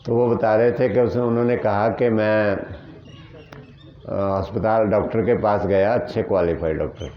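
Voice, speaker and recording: A man's voice: lowish voice, steady tone, unhurried, dull audio. Earnest man teaching a natural health group. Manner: earnest, explaining plainly with rhetorical questions. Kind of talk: teaching talk answering questions.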